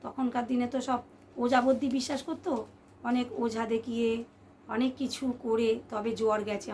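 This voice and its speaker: A woman tells a ghost story to listeners in an expressive, suspenseful way, repeating phrases for effect. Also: a little high, background noise. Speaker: storyteller, channel host. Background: background music.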